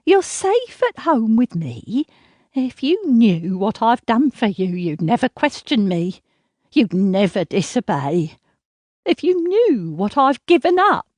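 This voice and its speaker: A woman's voice: big pitch swings, unhurried, no echo. A woman voicing a cruel, self-pitying, volatile middle-aged mother who berates and pleads by turns. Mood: pleading. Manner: shrill, berating, swinging to sobbing pleas.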